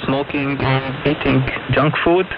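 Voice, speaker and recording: A man's voice: medium pitch, fairly even intonation, a little slow. A young man speaking non-native English in short phrases as an interview guest, recounting his own experiences over a shortwave radio broadcast. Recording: narrow, noisy shortwave radio reception. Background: clear radio static and noise.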